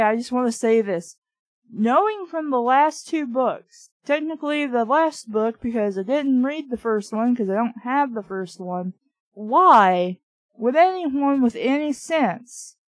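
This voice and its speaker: A woman's voice: on the high side, no room sound, poor audio. A woman reading a children's storybook aloud and breaking off with opinionated, nitpicking comments. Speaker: amateur reader and commentator of children's books.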